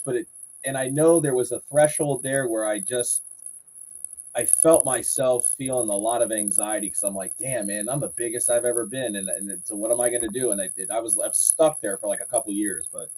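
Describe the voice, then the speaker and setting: A man's voice: medium pitch, a little fast, mellow. A man in his forties from Florida, a podcast guest chatting and telling long rambling stories about his life. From Southwest Florida, United States. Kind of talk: casual chat.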